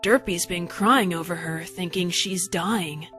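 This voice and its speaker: A woman voicing a gloomy, dark-humored female pony in a cartoon story. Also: on the low side, a little slow, faint music behind.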